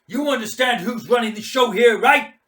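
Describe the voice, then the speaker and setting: A man's voice: extremely high pitch, normal speed, fairly bright tone, echoey room, voice pushed up. Man acting a barking, drunken bully of a Marine commander. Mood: menacing. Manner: barking, domineering, profane. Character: drunk, bullying, foul-mouthed middle-aged male Marine commander.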